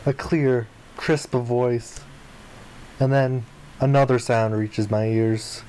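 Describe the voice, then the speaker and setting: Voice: medium pitch, fairly even intonation, slow pace, warm tone, slight background noise, rough recording. A let's player reading a visual novel aloud expressively and slipping in casual asides.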